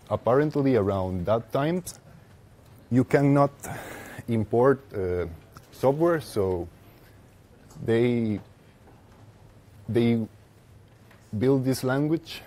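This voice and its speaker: Mellow-voiced young Costa Rican man presenting. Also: medium pitch, animated, very slow, long pauses. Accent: Costa Rican Spanish. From Costa Rica. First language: Spanish. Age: young adult. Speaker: conference speaker. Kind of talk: technical presentation with slides. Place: lecture room with seated audience, indoors.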